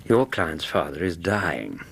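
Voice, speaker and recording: Courteous English physician for radio play: low, very expressive intonation, reassuring bedside manner, tactful questions. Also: male voice, slow, vocal fry, a little hiss or hum, full character voice. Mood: conversational, reporting. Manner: courteous, reassuring, gently probing. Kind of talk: radio drama acting.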